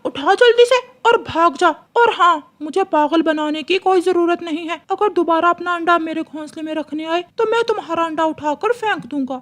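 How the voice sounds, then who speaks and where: Woman voicing a very high-pitched scolding male parrot. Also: normal speed, few pauses. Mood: angry, threatening. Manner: scolding, threatening. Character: angry, suspicious male parrot guarding his eggs. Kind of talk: Hindi cartoon voice acting.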